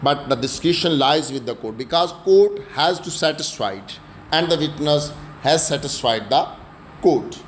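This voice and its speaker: A man with a Pakistani accent giving an explanatory law lecture in a halting, repetitive, didactic way. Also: on the high side, expressive, a little hiss or hum.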